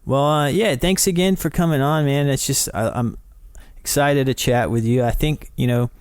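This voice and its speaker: A man's voice: medium pitch, normal speed, darkish tone, clean tone, no echo. A man from North Carolina hosting a podcast, friendly and upbeat, chatting casually with lots of fillers. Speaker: podcast host. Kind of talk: casual interview chat. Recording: dry close sound with faint music behind.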